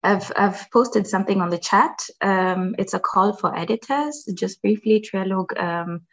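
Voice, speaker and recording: A woman, a journal editor, giving unhurried, explanatory closing remarks on an online panel. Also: medium pitch, muffled recording. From Kenya.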